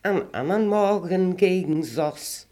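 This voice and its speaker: An older woman with a Low German accent slowly reciting rhymed dialect poems in a measured, sing-song way. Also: expressive, mellow. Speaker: interviewee reciting dialect verse.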